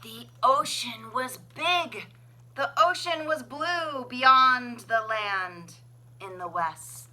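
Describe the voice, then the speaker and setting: A woman reading a children's picture book aloud as a librarian, with expressive, sing-song, deliberate phrasing. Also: on the high side. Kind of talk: children's storybook read-aloud.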